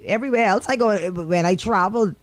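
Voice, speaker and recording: A woman's voice: medium pitch, a little fast, no room sound. A woman co-host, chatting casually in a blunt, opinionated way. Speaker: radio co-host. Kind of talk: casual chat.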